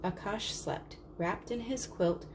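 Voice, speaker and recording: A woman reading a children's story aloud in a measured, expressive storytelling manner. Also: mid-range voice. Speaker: storybook reader. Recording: clearly noisy background.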